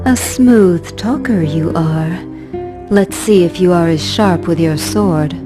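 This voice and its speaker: Low-voiced, poised seductress. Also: female voice, takes it slowly, over music. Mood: teasing. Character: cool, seductive female spy and swordswoman. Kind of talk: video game cutscene acting.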